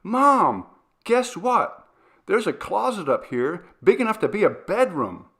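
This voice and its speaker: Storybook kid, voiced by a grown man: excited, insistent, high-pitched and bright. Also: unhurried, exaggerated voice. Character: excited, eager young children.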